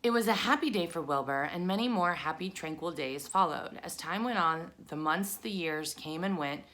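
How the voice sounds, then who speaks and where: Woman, lower-pitched voice, storybook reader, scripted children's narration, read aloud. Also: moderate pace, a bit bright, rough recording.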